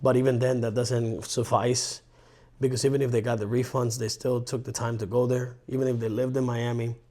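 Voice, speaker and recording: A man in his thirties from Colombia, telling stories in a rambling, animated way on a casual podcast. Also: mid-range voice, brisk. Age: 30s. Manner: rambling, animated storyteller with restarts. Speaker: podcast co-host. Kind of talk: casual chat.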